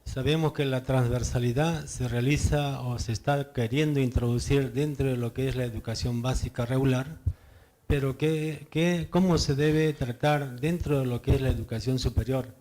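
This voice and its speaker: Mellow man asking a question. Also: medium pitch, steady tone. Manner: formal, measured questioning. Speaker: audience member asking a question.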